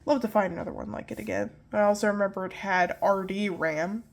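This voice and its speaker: A woman's voice: medium pitch, fairly even intonation, brisk, creaky. Nasal young woman rambling about computers. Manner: rambling, self-correcting, apologetic. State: nasal from pollen allergies. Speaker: tech video host. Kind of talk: casual hardware walkthrough.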